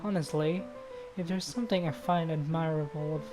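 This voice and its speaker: A woman's voice: sits low, brisk, music in the background. A woman streamer reading visual novel narration aloud, now and then stumbling and rereading phrases.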